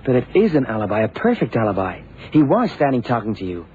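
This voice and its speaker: Animated male voice, actor as earnest deferential police sidekick, radio drama, muffled hissy vintage recording. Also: medium pitch, fast, lightly acted. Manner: earnest, agreeable, hesitant. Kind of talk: 1960s-70s radio drama acting.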